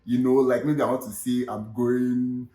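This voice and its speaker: A man's voice: mid-range voice, little pitch movement, normal speed, warm tone, reverberant. A young man with a Nigerian Yoruba accent hosting a casual comedic vlog, chatty and full of fillers like "you know". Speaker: vlog host.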